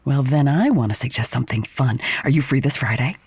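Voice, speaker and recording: A woman's voice: very deep voice, animated, fairly quick, darkish tone, breathy edge, dry recording, heavily acted. An actress playing an upbeat, chummy woman confiding eagerly in a friend in a scripted audio comedy. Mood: friendly, eager.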